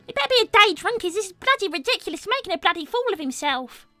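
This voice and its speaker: A woman's voice: extremely high pitch, big pitch swings, fast, pushed and bright, dry recording, faint music behind, heavily acted. A woman voicing a brash, gossipy young cartoon animal girl with a British accent, cheeky and exclamatory in improvised chat. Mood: indignant, cheeky. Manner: cheeky, exclamatory, swearing mildly. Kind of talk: improvised in-character livestream chat.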